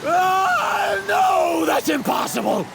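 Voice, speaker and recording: An actor playing an arrogant, self-righteous god who scorns mortals, with pompous, formal diction in a theatrical anime dub. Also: male voice, very high-pitched, very animated, takes it slowly, gravelly, shouting, a loud crowd, heavily acted. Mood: enraged, disbelieving. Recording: noisy clip with overlapping sound effects. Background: anime sound effects.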